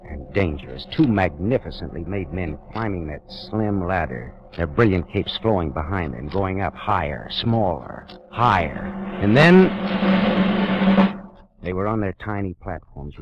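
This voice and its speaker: An actor playing a hard-boiled private detective who narrates in terse, dry first-person lines in a 1940s radio drama. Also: male voice, low voice, very animated, measured pace, a little hiss or hum, exaggerated voice. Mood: tense. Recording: dull vintage radio recording with music.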